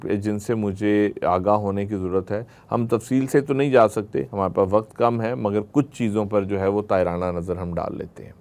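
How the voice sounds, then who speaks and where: A man's voice: lowish voice, a little mellow. A man giving a religious lecture to a group, explaining through rhetorical questions and everyday examples. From Pakistan.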